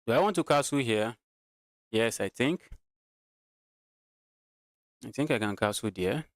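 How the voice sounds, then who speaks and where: A Nigerian man with a mid-pitched voice streams casual game commentary. He thinks aloud and often repeats his phrases as he talks to viewers. The sound is dry, with no room echo. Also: animated, a little slow. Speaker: chess streamer.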